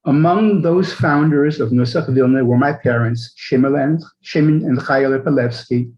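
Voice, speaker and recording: An older man with a middling pitch gives a measured commemorative speech. His voice comes through dull and lo-fi, as if over a video call. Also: darkish tone. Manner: measured, switches between Yiddish and English. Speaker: event chair. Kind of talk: speech at a memorial event.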